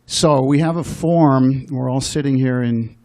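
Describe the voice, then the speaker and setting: A man's voice: mid-range voice, unhurried, darkish tone. A man from the audience asking a careful, thoughtful question during a spiritual class.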